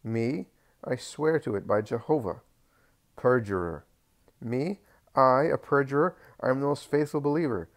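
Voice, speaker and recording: A man voicing a greedy, proud rich landowner with a squeaky, fox-like voice, pleading and boasting. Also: normal speed, close to an everyday voice. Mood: indignant.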